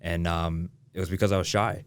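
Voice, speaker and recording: A man's voice: deep voice, flat delivery, brisk, clean tone, no room sound. A young man from Michigan, a real estate agent, chatting casually as a podcast guest and swearing now and then. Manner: laid-back, swears casually. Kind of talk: casual interview chat.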